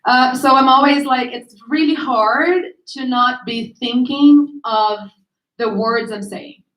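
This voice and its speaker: A woman's voice: high-pitched, slow, fairly bright tone. A woman who is both an actor and a writer speaking candidly and earnestly on a panel, self-conscious about her speech. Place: event room at a literary gathering. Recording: echoey room.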